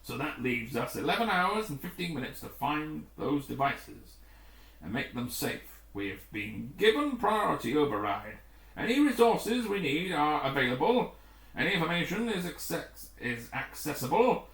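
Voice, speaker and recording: A man reading the part of a grim, authoritative rescue commander in a storybook, formal and deliberate. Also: fairly high pitch, very animated, fairly bright tone, far from the mic. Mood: grave, resolute. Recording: roomy, echoing room.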